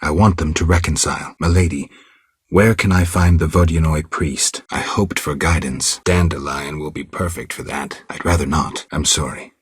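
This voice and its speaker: Game casting: world-weary witcher, rock-bottom bass register, gravelly, terse and deadpan, recorded very dry. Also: normal speed, dry recording, heavily acted. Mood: stoic, reserved. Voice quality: gravelly, husky. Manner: terse, restrained, deadpan delivery. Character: stoic, world-weary male monster-hunting witcher. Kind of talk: video game character dialogue.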